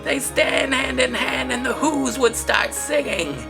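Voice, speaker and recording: A woman's voice: high voice, unhurried, creaky, over music. A woman reading a children's story aloud in a theatrical, playful way, with comic asides. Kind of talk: animated read-aloud of a children's picture book.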